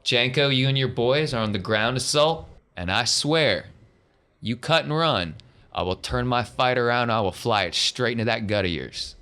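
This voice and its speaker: A man voicing a gruff, folksy rebel leader rallying fighters in a tabletop game, with commanding delivery and down-home grammar. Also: mid-range voice, lively intonation, normal speed, bright, forceful tone, pitched above the performer's own voice. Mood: stern, threatening.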